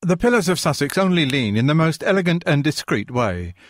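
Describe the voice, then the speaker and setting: Opinionated bearded landlord, read by a man in a raised voice; audiobook figure, gruff and quick-tempered. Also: medium pitch, lively intonation, no echo, studio quality, voice pushed up, close to an everyday voice. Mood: mock posh. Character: blunt bearded male pub landlord, opinionated.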